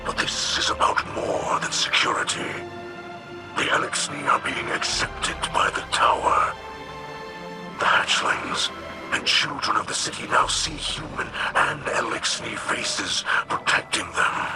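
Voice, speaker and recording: A man voicing a wise, gentle alien leader in a video game, solemn and formal, with a breathy growl. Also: mid-range voice, over music. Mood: solemn, content. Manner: solemn, measured, formal phrasing. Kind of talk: video game cutscene acting.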